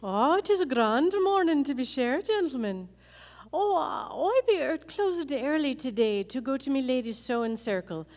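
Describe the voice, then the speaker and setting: A woman's voice: sits high, animated, moderate pace, a little mellow. A woman playing an Irish cafe owner with a theatrical, falsely innocent manner in a live Western radio play. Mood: falsely cheery. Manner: theatrical, protesting, quaint dialect. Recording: echoey hall, live stage performance.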